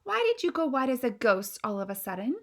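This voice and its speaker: A woman's voice: a little high, sing-song, fairly quick, pitched above the performer's own voice. A woman reading a storybook aloud, voicing an elderly queen with refined, exclamatory speech. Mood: alarmed, refined. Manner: refined, exclamatory, posh phrasing. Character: elderly queen, grand, easily alarmed.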